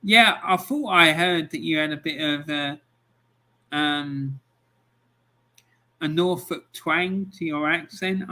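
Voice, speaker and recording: Slow, bright-voiced football stream host. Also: male voice, high-pitched. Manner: relaxed and chatty, with many ums. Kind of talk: casual live-stream chat, reading out scores and viewer comments.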